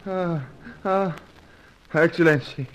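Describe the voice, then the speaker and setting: An actor playing a feeble, superstitious man on his deathbed, halting and groaning in broken English, in old radio serial style. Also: fairly high pitch, fairly even intonation, poor audio. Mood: feeble, pleading. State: weak, acting as if dying. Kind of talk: old-time radio adventure serial acting.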